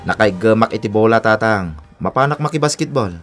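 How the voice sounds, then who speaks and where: An actor with a Filipino accent playing a carefree, playful young bachelor in a radio drama. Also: male voice, lowish voice, animated, brisk, fairly bright tone, soft background music. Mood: breezy. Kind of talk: Ilocano radio drama acting.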